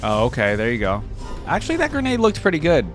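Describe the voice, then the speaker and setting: A man's voice: a little high, huge pitch swings, fast talker, faint music behind. A man hosting a reaction video with casual, informal commentary, thinking aloud as he goes.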